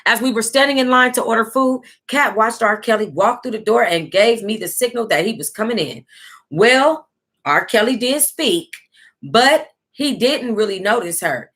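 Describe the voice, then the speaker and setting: Female, American, higher-pitched. Book club livestream host, casual animated read-aloud with blunt, salty reactions. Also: normal speed.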